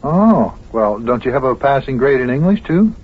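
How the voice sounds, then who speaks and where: A man playing a kindly, patient guardian who also narrates an old children's radio serial in a homey, measured way. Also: medium pitch, very animated, slightly rough. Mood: interested, encouraging. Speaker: host and narrator. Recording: very thin, phone-like old radio recording.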